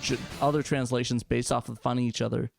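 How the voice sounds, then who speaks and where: A man's voice: mid-range voice, flat delivery, fast talker, creaky. A man hosting a casual chat, enthusiastic and often stumbling over his phrases. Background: game music and sound effects.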